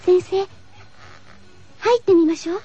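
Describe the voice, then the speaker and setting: A woman's voice: extremely high pitch, steady tone, a little slow, mellow. A woman playing an earnest, fearful schoolgirl class monitor who senses eerie things, in a horror radio drama. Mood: wary. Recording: dull lo-fi radio recording with music.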